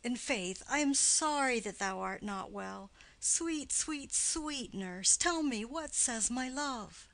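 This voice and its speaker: Lovesick young girl woman performer, classical play: highish voice, measured pace, declamatory, earnest, impatiently pleading. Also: animated, a bit bright, exaggerated voice, rough recording. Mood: coaxing. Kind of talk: classical stage play reading.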